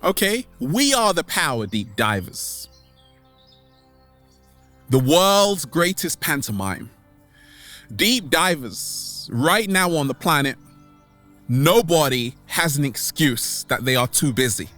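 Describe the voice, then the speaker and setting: A man's voice: a little high, sing-song, fairly bright tone. A man giving a motivational monologue as an online host, theatrical and exclamatory, drawing out his words. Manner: theatrical, exclamatory, with drawn-out words and sudden swoops. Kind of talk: motivational monologue to camera, catchphrase-heavy. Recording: background music under the voice.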